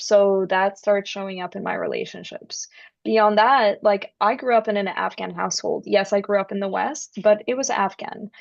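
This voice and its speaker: A woman's voice: medium pitch, gravelly, dry recording, muffled recording. A woman, an author and guest, explaining in an earnest way and often checking in with "right?". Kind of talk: explanatory interview talk.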